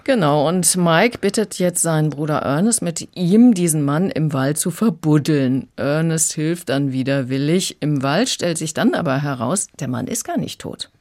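A woman's voice: deep voice, lively intonation, moderate pace, few pauses, a little mellow. A woman reviewing a book on the radio, summarising the story in a prepared, conversational way. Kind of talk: prepared plot summary in a two-person review.

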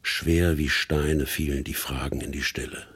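An actor narrating a radio play as a grave literary storyteller, measured, solemn and theatrical. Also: male voice, rumbling low, steady tone, a bit bright, husky, heavily acted. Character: grave, literary male narrator of a criminal's life.

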